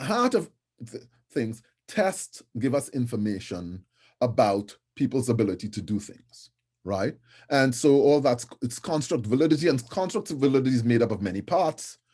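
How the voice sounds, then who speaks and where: A middle-aged man from Trinidad and Tobago giving a conference lecture in a conversational way, often checking in with "right?" and filling pauses with "um". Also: mid-range voice, mellow, slightly rough. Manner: conversational, with frequent tag "right?" and fillers.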